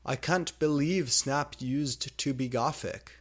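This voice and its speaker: A man's voice: medium pitch, slow, voice pushed up. A man voicing a melodramatic, foul-mouthed gothic teenage girl in a story, with exaggerated delivery. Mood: shocked.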